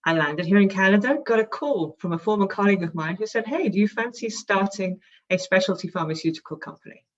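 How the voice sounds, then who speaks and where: A woman's voice: mid-range voice, fast, lo-fi sound. A woman from England with a London accent, a startup CEO speaking in a composed, articulate way in a podcast interview. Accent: London English.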